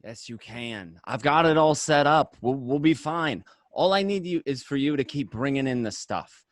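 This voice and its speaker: Man playing a jailed mobster, animated and insistent. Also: medium pitch, moderate pace, no room sound, studio quality, natural voice. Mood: placating, insistent. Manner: insistent, placating. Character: defensive, pleading jailed mobster husband. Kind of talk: radio drama acting of a film script.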